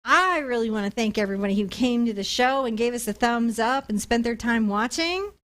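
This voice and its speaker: High-voiced woman hosting an art livestream. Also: lively intonation, brisk, a bit bright. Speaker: art tutorial livestream host. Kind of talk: casual livestream chat, thanking supporters.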